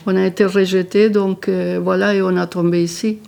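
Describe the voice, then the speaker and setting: An older woman from Chile, speaking French, recounts her past in halting bursts as she searches for words. Her voice is gravelly with a creaky edge. Her pitch sits in a middle range. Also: little pitch movement, a little mellow. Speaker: interviewee. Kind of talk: personal testimony.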